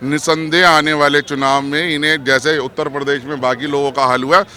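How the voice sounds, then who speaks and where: Forceful, emphatic man with highish voice. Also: little pitch movement, a little fast. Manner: emphatic, rhetorical, mixes in English terms. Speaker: political commentator interviewed. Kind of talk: argumentative political opinion in interview.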